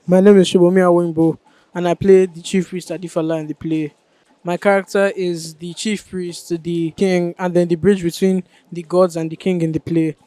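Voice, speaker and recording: A man's voice: sits high, flat delivery, moderate pace, mellow, dry recording. A young man with a Nigerian accent explaining his acting role in an interview. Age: teenager or young adult. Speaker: student actor in a school play.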